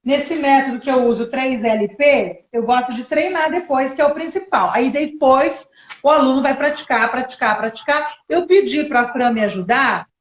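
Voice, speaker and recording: A Brazilian woman teaching English in a live online lesson, lively and encouraging, explaining step by step. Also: high voice, moderate pace, roomy sound. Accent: Brazilian Portuguese.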